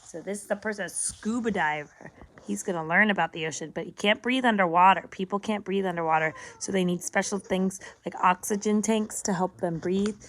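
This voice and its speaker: A woman's voice: medium pitch, fairly quick. A woman reading a picture book aloud as a lesson for young children, explaining simply, asking questions and repeating words.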